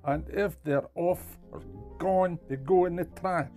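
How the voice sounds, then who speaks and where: A man's voice: high-pitched, a little slow, warm tone, soft background music. A man playing an outraged, blunt male kitchen inspector in a story, scolding with emphasis.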